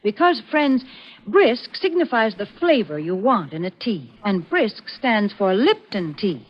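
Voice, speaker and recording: A woman announcer delivering a scripted 1940s radio advertising pitch. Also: sing-song, unhurried. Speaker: commercial announcer. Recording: dull vintage 1940s radio recording.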